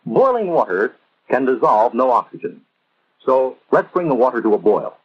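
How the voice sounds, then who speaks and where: American male narrator, 1950s instructional style, measured and didactic, husky edge, muffled vintage film sound. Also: mid-range voice, big pitch swings.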